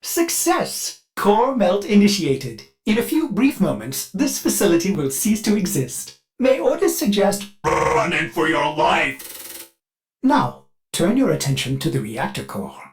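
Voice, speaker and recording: An actor voicing a devoted ship computer AI in a video game, fussy, polite and eager with violent outbursts, through glitchy synthetic processing. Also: male voice, sits high, huge pitch swings, unhurried, full character voice. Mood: alarmed. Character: fussy, devoted ship computer AI, male, with flashes of bloodthirsty rage. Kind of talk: scripted video game character lines.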